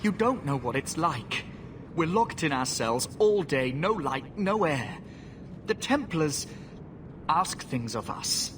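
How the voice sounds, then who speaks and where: A man playing an anxious, guilt-ridden young mage in a fantasy game, hesitant and pleading. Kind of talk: fantasy video game acting. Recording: clear background rumble and noise.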